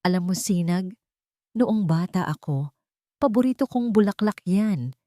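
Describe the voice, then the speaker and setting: A woman's voice: medium pitch, lively intonation, a little slow, mellow, no echo, studio quality, close to an everyday voice. A woman voicing a composed, protective wealthy mother, earnest and polite, in a storybook narration. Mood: fond.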